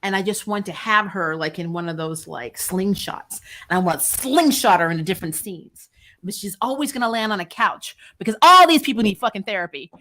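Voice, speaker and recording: A woman hosting a recap show, giving casual, opinionated TV review chat in an animated, blunt, exasperated way with plenty of swearing. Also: medium pitch, brisk. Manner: animated, exasperated, blunt and profane, mock-scolding; laughs often while talking.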